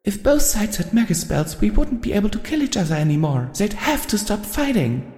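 A timid young pegasus mare with a soft, quavering, very high voice, as a man puts her on. She speaks meekly and her voice shakes. The sound carries a roomy, long-tailed echo. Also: very animated, brisk. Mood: tearful, resolute. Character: meek tearful young female pegasus pony.

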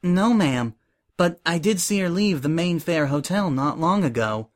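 A man's voice: a little high, animated, measured pace, voice pushed up. A man voicing a meek, anxious young female pony assistant who stammers and pleads shakily in a story reading. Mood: timid. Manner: stammering, shaky, breathless pleading.

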